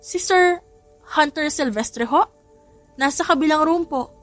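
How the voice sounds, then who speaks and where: A woman's voice: high voice, a little slow, fairly bright tone, faint music behind, voice pushed up. A woman playing a polite, deferential hospital nurse in an audio drama. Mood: polite, concerned.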